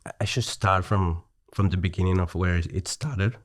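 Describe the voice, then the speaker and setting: A man's voice: low-pitched, moderate pace, dry recording, studio quality. A middle-aged man from Chile with a Chilean Spanish accent, chatting as a podcast guest, hesitant with many restarts and easy laughter. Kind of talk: casual conversation.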